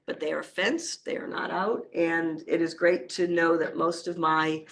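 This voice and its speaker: A hoarse woman in her forties from the Boston area, speaking with a Boston accent, giving an animated, story-filled talk with many fillers. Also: deep voice, a little slow. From Boston area, Massachusetts. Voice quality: gravelly, creaky. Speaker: wildlife conservation speaker. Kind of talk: public talk with slides.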